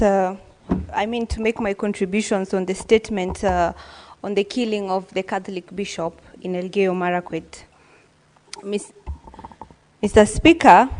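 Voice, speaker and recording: A woman's voice: mid-range voice, a little mellow, in a large hall. A woman giving an earnest, formal speech as a senator, with frequent hesitations. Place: legislative chamber.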